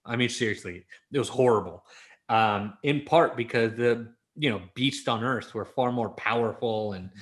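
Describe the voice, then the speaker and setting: A man's voice: mid-range voice, moderate pace. A man from Los Angeles with an American accent, talking casually and informally as an interview guest, in a storytelling way. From Los Angeles, United States.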